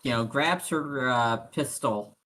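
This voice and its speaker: A man's voice: medium pitch, slow, a bit bright. A man talking casually as a tabletop player, describing what his character does. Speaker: player in a tabletop roleplaying game. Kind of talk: casual game chat, describing a character's actions.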